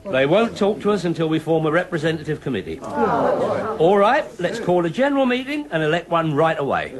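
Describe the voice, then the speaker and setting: A man's voice: a little high, animated, brisk, lightly acted. An actor playing a bossy, forthright London shopkeeper who leads his neighbours, in a comedy audio drama. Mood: determined. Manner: forthright, commanding. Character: indignant, bossy London shopkeeper and community leader. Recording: busy outdoor crowd behind.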